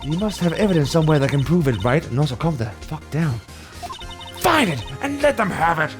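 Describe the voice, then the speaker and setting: A man voicing a female mentor lawyer in a comic game reading, bossy, encouraging and brisk. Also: fairly high pitch, big pitch swings, music in the background. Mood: exasperated. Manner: brisk, urging. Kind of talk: comic amateur video game dramatic reading.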